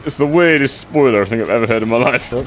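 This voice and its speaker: A man's voice: mid-range voice, fast, heavy background noise. A young man chatting and teasing friends over voice chat while gaming, laughing often. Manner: laughs a lot, teasing, playful. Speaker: gamer streamer. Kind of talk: casual group gaming chat.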